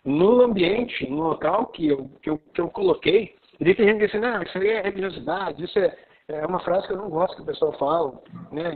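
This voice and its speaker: A pastor from southern Brazil with a Gaúcho accent, teaching with excitement in a live video call conversation. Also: male voice, high-pitched, breathy edge. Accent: Gaúcho Brazilian Portuguese. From Rio Grande do Sul, Brazil. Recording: tinny phone-like line.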